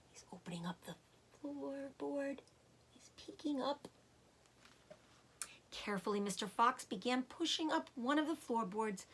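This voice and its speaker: A woman storyteller reading a children's book aloud in an expressive narrating style with dramatic emphasis. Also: medium pitch, breathy edge.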